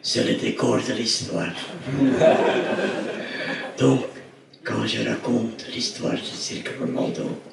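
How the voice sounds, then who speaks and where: An older man from Belgium with a raspy, half-whispered voice after throat surgery, telling stories on stage slowly and haltingly as he searches for words. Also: medium pitch, flat delivery. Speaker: circus director, interviewee. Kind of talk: storytelling on stage. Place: theatre stage before an audience. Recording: echoey hall, distant mic, faint noise.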